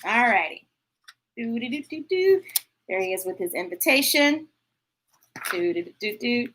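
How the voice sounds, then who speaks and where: A woman's voice: fairly high pitch, big pitch swings, measured pace, fairly bright tone, a little hiss or hum. A woman reading picture books aloud to children, playful and chatty, breaking into sing-song and sound effects. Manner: chatty, talking directly to young listeners. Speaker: children's story read-aloud host. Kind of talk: animated picture-book read-aloud with chatty asides.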